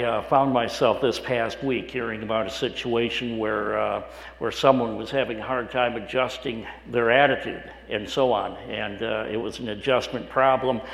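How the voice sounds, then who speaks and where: A middle-aged male pastor with a hoarse, raspy voice, preaching a sermon in a conversational way with frequent restarts. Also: mid-range voice. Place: church sanctuary. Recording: echoey hall with long reverb.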